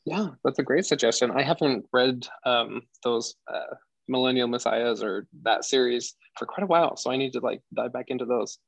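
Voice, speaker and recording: Soft-spoken man with a gravelly edge. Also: medium pitch, normal speed, dry recording, dull audio. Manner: relaxed, laughs often, soft-spoken. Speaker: discussion group leader. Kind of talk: casual online group chat.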